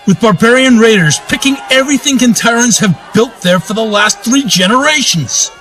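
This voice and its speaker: A man's voice: high voice, fairly bright tone, poor audio. A man playing a proud, vengeful duke who claims a throne in a fantasy radio drama, declaiming in righteous, grandiose oratory. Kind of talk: radio drama monologue in a fantasy audio play.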